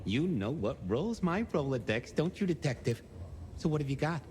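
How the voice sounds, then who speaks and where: An eccentric video game archivist who revels in his records. His higher-pitched voice swoops about theatrically and playfully, over faint background noise. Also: sing-song, normal speed, a little mellow. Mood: playful, intrigued.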